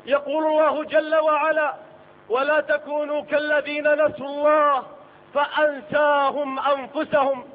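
A man's voice: extremely high pitch, flat delivery, normal speed. A man delivering a solemn, declamatory Friday sermon as a preacher in a mosque. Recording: tinny phone-like sound in a reverberant hall.

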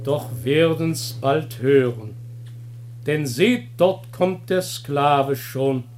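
An actor playing a solemn chorus leader with measured, chanting delivery in a classical radio comedy. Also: male voice, on the high side, a little mellow, slight background noise. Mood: solemn, expectant.

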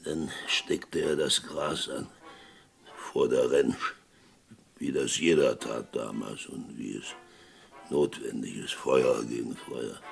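A grave male witness in a radio play, with a very low voice that moves slowly. He repeats himself in solemn, portentous bursts, sounding haunted. Faint music lies behind him. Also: vocal fry, full character voice. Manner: halting, repetitive, portentous. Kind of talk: dramatic German radio play acting.

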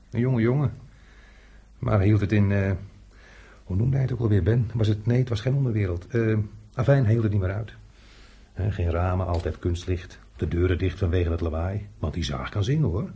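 An actor playing a chatty, jovial unemployed handyman in a radio drama, talking colloquially with a raspy, husky voice. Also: deep voice, warm tone, exaggerated voice. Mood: chatty, explaining. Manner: talkative, colloquial, chuckling. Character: chatty, jovial unemployed workshop handyman showing a newcomer around.

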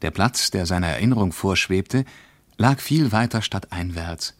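Really deep dry male voice, an understated traveller telling his trip, radio play style. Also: animated, normal speed, dry recording. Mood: measured recounting. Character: observant male traveller recounting a journey from a diary. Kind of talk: scripted radio play narration.

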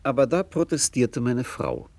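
An actor playing a thoughtful, conciliatory middle-aged father in a radio play, speaking in a measured, reflective way. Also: mid-range voice, animated, gravelly, a little mellow. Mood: reflective narration. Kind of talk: late 1960s German radio play acting, narration and dialogue.

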